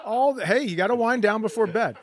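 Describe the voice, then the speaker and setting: A man's voice: high voice, brisk, crowd noise. A middle-aged American man hosting a podcast with casual comic chat and self-deprecating jokes. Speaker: podcast host.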